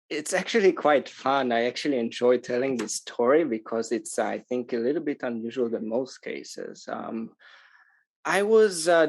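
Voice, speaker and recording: Man from Israel in conversational interview. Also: medium pitch. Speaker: interview guest, sustainable tourism organization manager.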